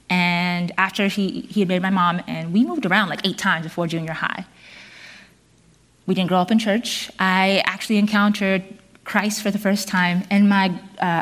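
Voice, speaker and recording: Middle-aged woman giving a sermon, earnest and measured, mid-pitched voice, little ums here and there. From South Korea. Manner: earnest, measured, with fillers and restarts. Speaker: preacher.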